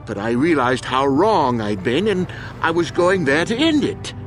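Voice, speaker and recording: An actor playing a proud, defensive war veteran on trial in a video game, speaking earnestly and emphatically. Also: male voice, on the high side, big pitch swings, measured pace, soft background music. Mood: guilty, pleading. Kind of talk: dramatic video game voice acting.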